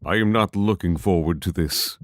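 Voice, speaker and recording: A man playing a cautious, thoughtful young fantasy adventurer in an audio drama, with a serious, measured way of speaking. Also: low-pitched, no echo, studio quality, close to an everyday voice.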